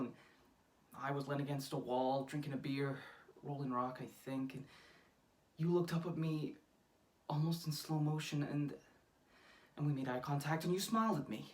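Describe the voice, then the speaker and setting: An actor playing a young lover confessing aching love in a halting, earnest, intimate monologue. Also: mid-range voice, lightly acted. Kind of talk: naturalistic monologue acting. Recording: distant microphone.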